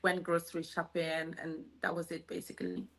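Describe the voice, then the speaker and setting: A woman's voice: on the low side, completely flat delivery, a little fast, a bit bright, low-quality recording. A young woman from Italy whose first language is Italian, a guest chatting on a dating show, giggly and a little shy. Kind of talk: casual interview chat.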